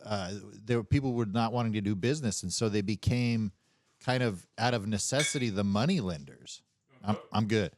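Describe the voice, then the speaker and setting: A man chatting casually as a podcast co-host, explaining things in a rambling, halting way full of casual slang. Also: fairly low pitch. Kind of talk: casual chat.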